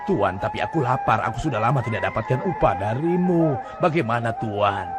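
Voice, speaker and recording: A man's voice: huge pitch swings, fairly quick. A man voicing a hungry, hapless male street peddler who hawks idols and complains to his master in a dubbed cartoon. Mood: whining. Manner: high, pushy hawking; whiny complaining.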